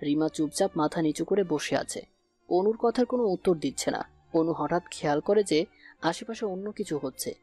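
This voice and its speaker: Guy narrating a story, soft mellow tone, mid pitch, bit of music behind. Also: normal speed, breathy edge.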